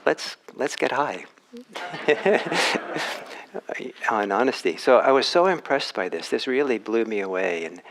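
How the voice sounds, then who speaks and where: A man in his sixties from Norway giving a dharma talk, unhurried, with many pauses and restarts and gentle laughs at his own jokes. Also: fairly low pitch, rough recording. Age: 60s. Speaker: dharma teacher.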